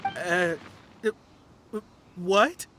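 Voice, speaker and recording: A woman's voice: fairly low pitch, very slow, very noisy. A woman playing an anxious, superstitious and polite townswoman in an anime-style game, earnest and hesitant in delivery. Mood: confused, flustered. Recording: clear music behind the voice.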